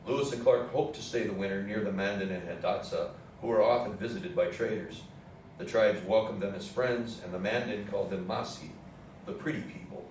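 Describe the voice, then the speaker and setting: A low-voiced man delivers scripted historical narration as a play's narrator. He is recorded from a distance in a very echoey room with faint noise. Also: fairly quick, darkish tone. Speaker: narrator in a readers theatre play. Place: indoor theatre room with audience program.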